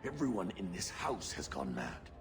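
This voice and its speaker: A frightened male dwarf servant in a fantasy game. His very low voice is grave and pleading, haunted by fear, with music playing clearly behind it. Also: huge pitch swings, normal speed. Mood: fearful, pleading. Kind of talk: video game dramatic acting.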